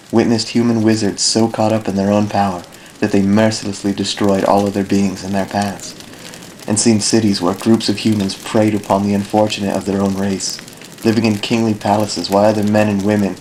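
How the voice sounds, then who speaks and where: A low-pitched, thoughtful elf ranger, acted by a man for a fantasy audio drama. He speaks formally and solemnly, like an idealist recounting a long life. The amateur recording has noticeable noise behind the voice. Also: darkish tone, lightly acted, rough recording. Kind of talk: fan audio drama narration, literary monologue.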